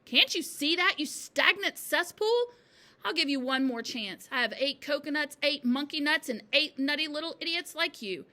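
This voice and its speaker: A woman voicing a huge, furious bullying headmistress from a storybook, snapping and shouting with contempt. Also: sits high, brisk, few pauses, pitched above the performer's own voice.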